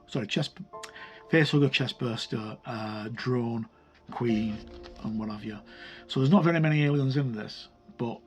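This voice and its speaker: A man giving a casual, unscripted walkthrough of a game sourcebook, rambling and hesitant, often correcting himself as he goes. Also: medium pitch. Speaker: reviewer presenting a tabletop game sourcebook.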